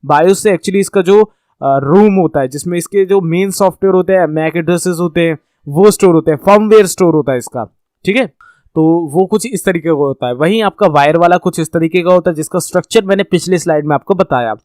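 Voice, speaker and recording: A man's voice: sits high, fairly quick, darkish tone, no echo. An Indian man giving an informal instructional lesson, explaining step by step, repeating points and checking in with learners. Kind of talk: explanatory tech lesson with slides, addressing learners.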